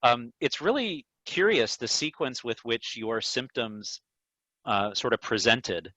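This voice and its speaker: A man speaking as an eye doctor, explaining things to a patient in a measured, careful way over a video call. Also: medium pitch, animated, bright, forceful tone, no echo. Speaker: neuro-ophthalmologist answering patient questions. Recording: dull, lo-fi video call audio.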